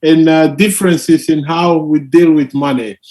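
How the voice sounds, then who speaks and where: Male moderator, unhurried, slightly high, easygoing. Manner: brief affirmations, relaxed. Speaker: host. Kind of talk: moderating a discussion.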